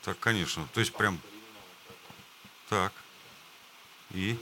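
A man's voice: sits low, takes it slowly, background noise. A male host casually interviewing band members, asking joking questions.

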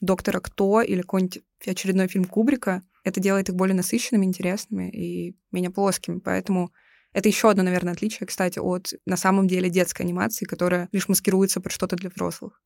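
A young woman speaks as a co-host in a casual discussion. She talks extremely fast with a flat, even intonation, recorded dry and close. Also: lowish voice, vocal fry, no room sound.

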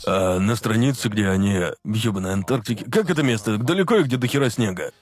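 A man's voice: on the low side, fast, faint music behind. A man co-hosting a podcast in casual chat, ranting heatedly and swearing a lot. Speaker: podcast co-host.

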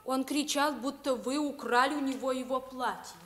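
A woman's voice: sits high, fairly even intonation, moderate pace, background noise, natural voice. An actress playing a formal, deferential young palace page boy in a radio play. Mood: formal, matter-of-fact. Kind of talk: Russian radio play acting.

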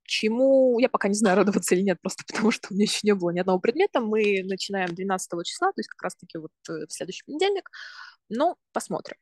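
A woman in her twenties from Russia, a university student and podcast guest, talking casually and explaining things step by step, often pausing to rephrase. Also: mid-range voice, animated, darkish tone, a little hiss or hum. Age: 20s.

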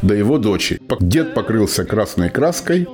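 A man narrating a scripted radio play in a dramatic storytelling manner, with deliberate pauses. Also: lowish voice, sing-song, darkish tone. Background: music.

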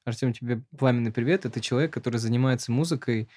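A man's voice: mid-range voice, racing, darkish tone. A man hosting a podcast, chatting casually in rambling, slangy language. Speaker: podcast host.

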